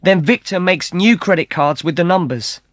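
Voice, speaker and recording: A man voicing a frightened young captive woman in a high, pleading voice for a storybook reading. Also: fairly quick, breathy edge, no echo. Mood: anxious.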